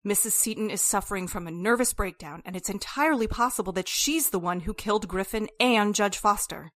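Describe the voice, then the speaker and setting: A woman's voice: medium pitch, fairly quick, fairly bright tone, dry recording, studio quality, close to an everyday voice. A woman playing a stern, insistent doctor, urgent and authoritative, in an old-time radio drama.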